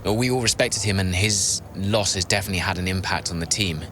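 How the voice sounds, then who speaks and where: An actor playing a loyal, steady racing driver in a video game drama, speaking in a measured, hesitant, earnest way. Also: male voice, deep voice, lightly acted. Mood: subdued. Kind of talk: naturalistic video game cutscene acting. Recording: clear background ambience noise.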